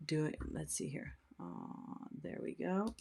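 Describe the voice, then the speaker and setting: Middle-aged woman from Sweden, native Swedish, low-ish voice, slow and chatty; live stream host. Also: wildly swooping pitch, vocal fry, darkish tone. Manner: chatty, unhurried, reads out viewer questions. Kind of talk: casual live stream chat.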